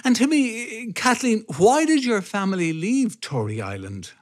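High-voiced male interviewer. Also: very animated, unhurried. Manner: short, prompting questions. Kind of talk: interview questions.

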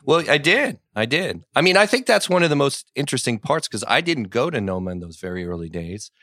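A man's voice: mid-range voice, very animated, fairly quick, bright, forceful tone, dry recording, clean studio sound. A man guesting on a podcast as a journalist, fighting a cold and losing his voice, talking in a rambling, self-deprecating way. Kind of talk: casual podcast conversation.

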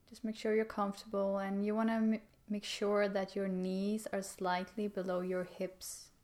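A woman leading a guided meditation in a calm, gentle, unhurried manner with pauses. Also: medium pitch.

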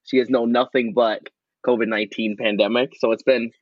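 A man's voice: medium pitch, flat delivery, fairly quick, no echo. A man in his thirties from Wisconsin with a noticeable Wisconsin accent, chatting casually as a podcast guest over a call, rambling with lots of fillers. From Wisconsin, USA. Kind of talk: casual chat. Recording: dull, lo-fi audio.

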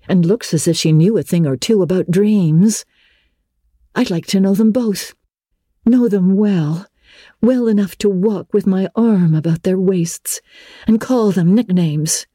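A woman plays a dreamy, homesick teenage girl from a classic storybook. Her delivery is slow and dramatic, full of wistful exclamations. The voice is soft and mellow. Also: lowish voice, slightly rough, no room sound, clean studio sound, full character voice.

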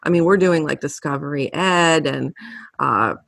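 A woman's voice: low-pitched, normal speed, gravelly, a bit bright, slightly rough, no echo, clean studio sound. A woman hosting a podcast, chatty and enthusiastic as she asks questions. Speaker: podcast host. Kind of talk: casual interview.

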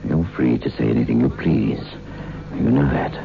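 An actor playing a menacing, domineering man with a pet tiger, brusque and smoothly controlling, in 1960s radio horror style. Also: rumbling low, sing-song, normal speed, exaggerated voice. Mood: controlling, falsely soothing. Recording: dull old radio recording, music behind.

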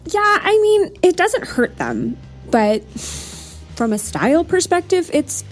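A chatty teenage girl in a comedy audio drama. She drawls at a leisurely pace with very lively pitch and casual, airy phrasing. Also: slow pace, faint music behind, exaggerated voice. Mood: casual, sheepish.

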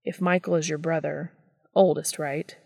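A charming young man, calm and sure of himself, as a woman reading a story puts him on. The tone is low and a little gravelly, recorded close and dry. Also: moderate pace, no echo, exaggerated voice. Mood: easygoing. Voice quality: easygoing and self-assured.

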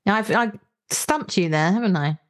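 A woman's voice: mid-range voice, normal speed. A woman hosting a chatty book discussion in a playful, enthusiastic manner. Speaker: podcast host and interviewer. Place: remote call.

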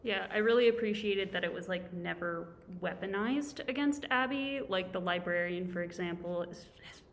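A woman's voice: on the low side, normal speed, a little hiss or hum. A woman hosting a podcast in a casual, conversational way, phrasing things a little tentatively. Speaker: podcast host.

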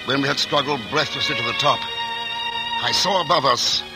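An actor playing a composed male traveler who narrates his journey in a 1940s radio drama, measured and reflective. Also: mid-range voice, natural voice, poor audio. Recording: old radio broadcast with music.

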